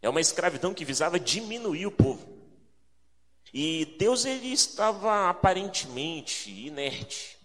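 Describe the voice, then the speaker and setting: A man's voice: high voice, big pitch swings, measured pace, fairly bright tone. A man from Rio de Janeiro with a carioca accent preaches as a guest in an informal, reflective way, repeating phrases for emphasis. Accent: carioca Brazilian Portuguese. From Rio de Janeiro, Brazil. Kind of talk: sermon, conversational with prayer and scripture reading. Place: large reverberant indoor meeting space, speaking to a congregation. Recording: reverberant hall sound.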